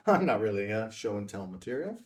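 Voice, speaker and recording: An actor playing a guarded big-city reporter, hesitant and probing, in a naturalistic screenplay read. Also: male voice, on the low side, very animated, normal speed.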